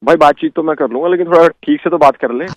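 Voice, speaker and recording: A man's voice: a little high, fast talker, no room sound. A man speaking bluntly and impatiently in a casual phone conversation. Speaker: prank call victim. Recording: slightly dull phone audio.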